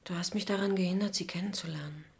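A woman's voice: fairly low pitch, fairly even intonation, fast talker, vocal fry, slight background noise. An actress playing a young adult daughter who probes and reproaches her mother in a radio drama. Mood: reproachful, probing. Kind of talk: dramatic radio play dialogue.

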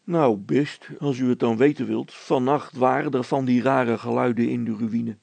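A man plays a plain-spoken, stubborn village policeman in a storybook. He is earnest and emphatic, in a gravelly, rough voice recorded dry and close. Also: mid-range voice, fairly even intonation, normal speed, darkish tone, no echo. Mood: indignant, stubborn. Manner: blunt, emphatic.

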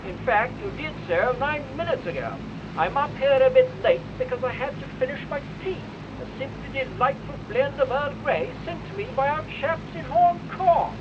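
A jolly upper-class RAF officer with a British accent, chattering over a radio set. His voice sits high, and the sound is slightly dull, with steady background noise behind it. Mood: breezy. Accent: British English. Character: jolly British RAF squadron leader, tea-loving. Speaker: squadron leader on radio. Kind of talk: radio drama acting.

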